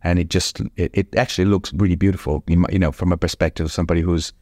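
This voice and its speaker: A man, a former ballet dancer who now teaches, talking in a reflective conversation, halting often and restarting his sentences. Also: low voice, fairly even intonation, darkish tone, no room sound, studio quality. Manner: halting, with frequent restarts and laughs.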